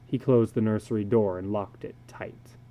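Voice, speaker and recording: A man giving a measured, deliberate dramatic reading of a literary story as its narrator. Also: fairly low pitch, fairly even intonation, dark tone.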